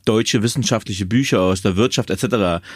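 A man's voice: fairly low pitch, fairly quick, breathy edge, no room sound, clean studio sound. A man hosting a podcast interview, chatty and hesitant, with many fillers as he asks questions. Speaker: podcast host.

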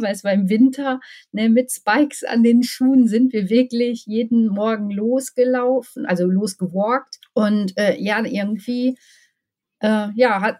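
Chatty woman, high voice. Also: dark tone. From Ruhr area, Germany. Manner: chatty, laughs often, many "ähm" fillers. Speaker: guest. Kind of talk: casual storytelling interview.

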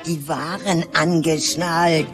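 A woman's voice: sits low, steady tone, takes it slowly. A woman playing a stubborn gossip in comic dialogue, insistently repeating her point as she bickers. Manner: insistent, repetitive. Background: music.

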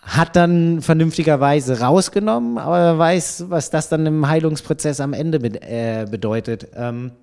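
Mellow-voiced man chatting casually. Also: on the high side, normal speed, gravelly, few pauses, smooth. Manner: relaxed, many fillers, thinks aloud. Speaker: podcast guest, triathlon editor. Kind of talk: casual podcast conversation.

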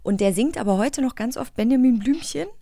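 A woman's voice: a little high, expressive, a little fast, dark tone, dry recording. A woman speaking as an interview host, in casual, informal studio conversation. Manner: informal, chatty. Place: recording studio.

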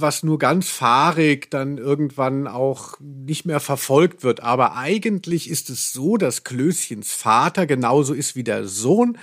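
Excitable, higher-voiced male podcast host. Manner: excitable, ironic retelling. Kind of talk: casual, humorous discussion of an audio drama.

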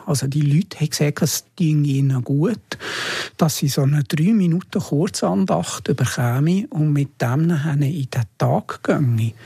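A man's voice: fairly high pitch, vocal fry, mellow, husky, no room sound, clean studio sound. A man with a Swiss German accent, talking informally as an interview guest and looking back on past work. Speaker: interview guest, former media editor. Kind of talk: informal reminiscing conversation.

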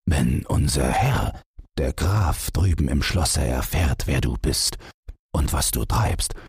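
Weary old farmer, read by a man, low gravel tone, slow, husky. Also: expressive, a little mellow, dry recording, studio quality, exaggerated voice. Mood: grave. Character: old male peasant farmer, weary and stern.

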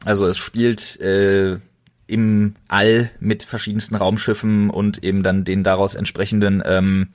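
Podcast host: young male, lower-pitched, chatting casually. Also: level tone, measured pace.

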